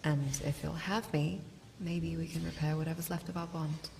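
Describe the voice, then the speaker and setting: A solemn female adventurer played by a woman, low and creaky in voice, earnest and measured. She sounds like a remorseful but resolute fantasy game character, set in a long hall reverb. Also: little pitch movement. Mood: resolute, hopeful. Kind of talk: dramatic video game character voice acting. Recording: long hall reverb, faint background noise.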